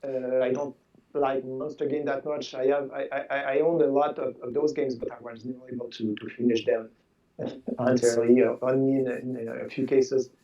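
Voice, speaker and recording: A man of sixty whose first language is French, a game designer chatting in a video call interview, halting and repeating words. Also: medium pitch, flat delivery, dark tone. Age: 60s. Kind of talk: casual interview chat. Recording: distant, dull lo-fi sound.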